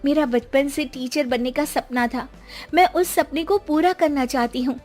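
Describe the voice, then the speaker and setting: A woman's voice: high-pitched, moderate pace, darkish tone, faint music behind, pitched above the performer's own voice, close to an everyday voice. A woman voicing a meek, earnest young daughter-in-law who speaks in a pleading, deferential way in a moral story. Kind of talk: melodramatic Hindi moral story acting.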